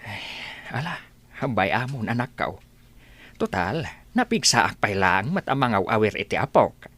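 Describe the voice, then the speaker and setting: A man acts an older village father and grandfather for a radio drama. His voice is husky and moves widely in pitch, fretful and protective of his family. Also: big pitch swings, moderate pace, fairly bright tone. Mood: concerned. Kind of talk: Ilocano radio drama acting.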